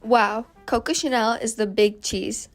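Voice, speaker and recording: A woman's voice: on the high side, steady tone, measured pace, soft background music, close to an everyday voice. A woman playing an earnest suffragist guest on a 1920s radio show, explaining things from a script. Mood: earnest, pleased. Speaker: guest activist.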